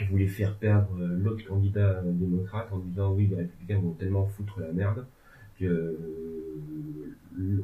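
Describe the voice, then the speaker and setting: A man talks through politics in a loose, meandering way, stopping often to hesitate and toss in jokes. His voice sits low. The sound is thin and phone-like, with a roomy echo. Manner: rambling, many hesitations, joking asides. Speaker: legal and political commentator. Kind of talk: casual discussion. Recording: tinny phone-like sound in an echoey room.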